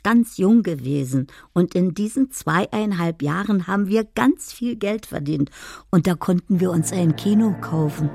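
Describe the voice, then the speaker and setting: Gravelly, low-pitched dreamy woman. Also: warm tone. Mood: reminiscing. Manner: slow, poetic, measured delivery. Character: dreamy older woman in assisted living, poetic letter writer and reminiscer. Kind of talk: radio drama monologue.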